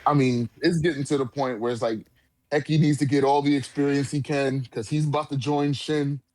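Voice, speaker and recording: A man co-hosting a casual discussion, talking in profane slang and often repeating phrases haltingly as he goes. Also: medium pitch, fairly even intonation, darkish tone, no room sound, low-quality recording. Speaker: co-host of a manga discussion. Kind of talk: casual chat.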